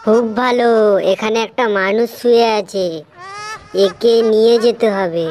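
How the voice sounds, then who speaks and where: A woman's voice: a little high, steady tone, unhurried, a little mellow, natural voice. A woman playing a predatory creature that hunts humans in a cartoon story, with an eager, plotting manner.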